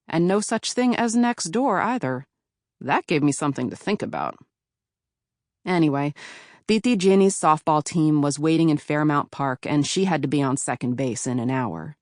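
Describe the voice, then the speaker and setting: A woman from Philadelphia reading her own memoir in a calm, measured way. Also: low voice, no room sound, studio quality. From Philadelphia, USA. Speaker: author narrating her memoir. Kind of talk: audiobook memoir narration.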